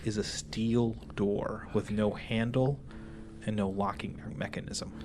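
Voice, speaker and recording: A man acting as game master, narrating a tabletop game casually and haltingly, with informal pauses. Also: on the low side, steady tone, background noise.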